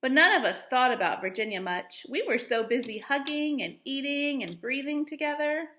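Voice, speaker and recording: Female schoolteacher for children's story reading: highish voice, expressive phrasing, roomy echoing sound. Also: moderate pace. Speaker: teacher reading aloud to pupils. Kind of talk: storybook read-aloud for children.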